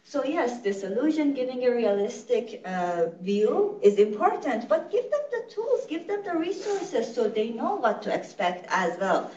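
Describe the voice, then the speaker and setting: A woman's voice: on the high side, big pitch swings, unhurried, hardly any pauses, a little mellow. Woman lecturing in echoey room. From Iran. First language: Farsi. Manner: earnest, explanatory, frequent self-corrections. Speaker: literacy program director giving a presentation. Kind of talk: conversational lecture to medical audience. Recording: echoey, distant, dull-sounding room recording.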